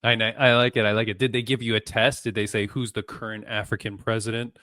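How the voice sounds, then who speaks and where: A man's voice: fairly low pitch, fast, dry recording. Upbeat podcast host man, dry mic. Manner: excited, says "dude" and "frickin'". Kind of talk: casual podcast interview.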